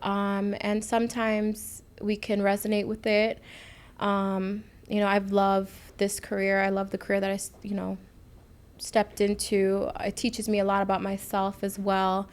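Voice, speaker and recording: A woman's voice: medium pitch, monotone, measured pace. A woman in her thirties from the United States co-hosting a podcast, chatting candidly and informally about her own experiences. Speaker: podcast co-host, nurse practitioner. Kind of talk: casual chat, personal storytelling.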